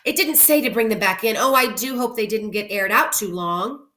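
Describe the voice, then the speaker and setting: A woman's voice: mid-range voice, moderate pace, bright, forceful tone, roomy sound, lightly acted. A woman voicing a cheerful, literal-minded housemaid in a storybook, innocent and eager to please. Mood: innocently proud.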